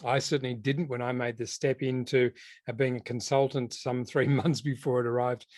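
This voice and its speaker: A man's voice: medium pitch, flat delivery, normal speed, a little mellow, dry recording. New Zealand man, dry close sound. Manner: self-deprecating, jokey asides. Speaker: education consultant. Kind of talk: conference presentation.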